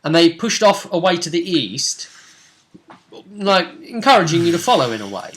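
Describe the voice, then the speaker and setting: A man's voice: a little high, sing-song, moderate pace, a bit bright, a little hiss or hum. A man talking casually as a game master, explaining events and prompting his partner with rhetorical questions. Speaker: game master recapping a tabletop role-playing session. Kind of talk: casual recap discussion.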